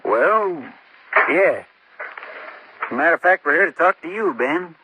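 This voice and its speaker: An actor playing a steady, plain-spoken small-town constable with a rural American accent in a vintage radio drama. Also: male voice, on the high side, heavily acted. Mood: grave, steady. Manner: folksy, deliberate, plain-spoken. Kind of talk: golden-age radio acting. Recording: noisy, clicking old radio recording.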